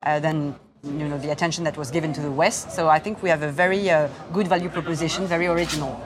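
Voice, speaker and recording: A woman answers interview questions at an event, her voice sitting low for a woman. She talks in a measured, halting way with plenty of fillers. A busy crowd murmurs behind her throughout. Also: steady tone. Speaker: investor, fund founder. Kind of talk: onstage interview.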